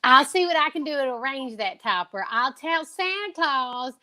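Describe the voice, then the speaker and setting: A woman's voice: high-pitched, expressive, moderate pace, sharp tone, voice pushed up. A woman voicing a jolly male store Jack Frost who greets children in a hearty, indulgent way, in old-time radio style. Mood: jolly, kindly.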